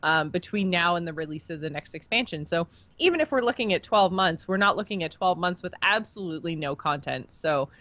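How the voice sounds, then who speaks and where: A woman with a fairly low voice talks casually as a gaming podcast co-host. She is chatty and lays out her points at length. The sound is very dry and a little muffled, with no room around it. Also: lively intonation, a little fast, a bit bright, no room sound.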